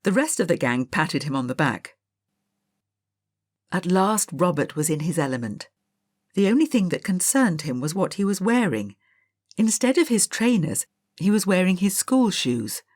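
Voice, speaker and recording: Low-pitched woman narrating a storybook. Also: very animated, normal speed, darkish tone, no echo, clean studio sound. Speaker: audiobook narrator.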